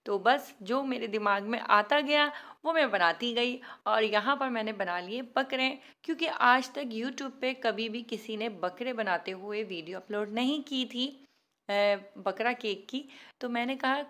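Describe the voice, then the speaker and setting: A woman's voice: a little high, a little slow, bright, forceful tone. A woman hosting a home baking tutorial in voice-over, chatty, self-mocking and pleading, laughing at her own work. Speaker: cooking channel host.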